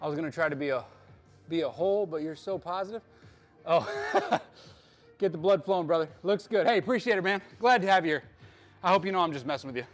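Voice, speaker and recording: A fitness coach talks to his viewers between sets of a live workout, full of jokes and pumped-up encouragement. His voice sits high for a man. A bit of music plays quietly under him. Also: expressive, brisk. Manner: hyped, joking, bro-style coaching talk.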